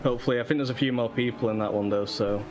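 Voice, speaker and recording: A man who is racing while chatting on a voice call, relaxed and rambling, often chuckling. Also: mid-range voice, very fast, noisy background. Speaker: racing driver guest on voice call. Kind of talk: casual chat while racing.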